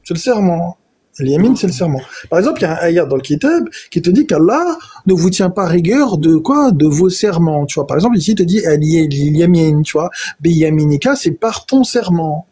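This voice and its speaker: A man's voice: a little high, big pitch swings, a little fast, dark tone, husky. A man in a live online debate, explaining his reading of scripture step by step and often repeating himself. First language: not Arabic. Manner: explanatory, repeats himself, addresses listener directly. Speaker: debate participant, Quranist.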